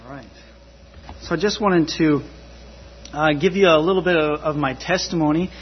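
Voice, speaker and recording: Man in his thirties, slightly high voice, from New Zealand, informal testimony, conversational, stop-start with self-corrections, muffled recording. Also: moderate pace, noisy background. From grew up in New Zealand. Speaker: missionary giving a church presentation. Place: church, speaking to a congregation. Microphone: church PA microphone.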